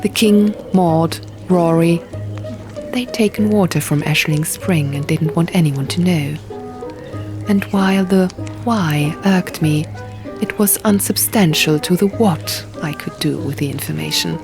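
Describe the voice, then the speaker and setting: Low-pitched woman narrating fantasy over music. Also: unhurried. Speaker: audiobook reader. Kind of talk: scripted dramatic narration of a fantasy novel. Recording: music behind the reading. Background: music and sound effects.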